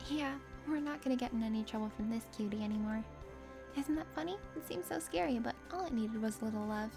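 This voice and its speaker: A woman's voice: on the high side, fast talker, vocal fry, music in the background, pitched below the performer's own voice. A woman voicing a kind, eager young female adventurer in a dating game, with a bubbly, casual manner. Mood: playful affection.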